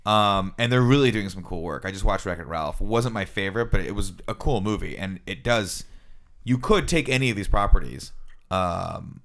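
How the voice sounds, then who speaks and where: A man's voice: lowish voice, expressive, moderate pace, fairly bright tone. A man co-hosting a podcast, giving opinions in loose, joking conversation and often tagging them with a question. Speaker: podcast co-host. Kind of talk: casual joking panel chat.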